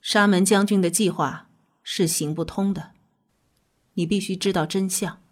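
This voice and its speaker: A woman playing a calm, earnest scientist, explaining in a measured way in an audio drama. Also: medium pitch, close to an everyday voice. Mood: grave, insistent. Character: calm, earnest female scientist with mystical faith.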